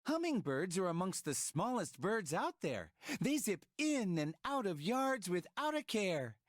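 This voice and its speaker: A woman's voice: mid-range voice, sing-song, unhurried, dry recording, clean studio sound. A woman voicing a cheerful cartoon child who teaches preschoolers in sing-song rhyme. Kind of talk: scripted rhyming children's game narration.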